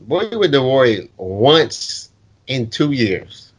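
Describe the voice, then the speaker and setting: A man's voice: takes it slowly, husky. A man co-hosting a sports podcast, teasing his friends in casual banter. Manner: teasing, trash-talking, lively pitch swings. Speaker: podcast co-host.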